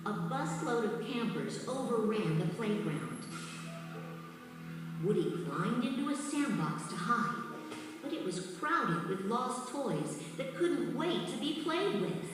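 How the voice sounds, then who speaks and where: A woman's voice: on the high side, very animated, slow pace. A woman reading scripted children's storybook narration as the narrator of a read-along. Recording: very echoey distant hall, music underneath.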